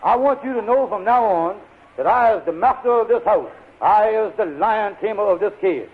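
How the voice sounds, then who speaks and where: An actor playing a blustering, henpecked husband who boasts and stammers when flustered, in 1940s radio comedy style. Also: male voice, high voice, lively intonation, normal speed, breathy edge, exaggerated voice. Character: blustering henpecked husband, scheming lodge leader. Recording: tinny, narrow-band old radio recording.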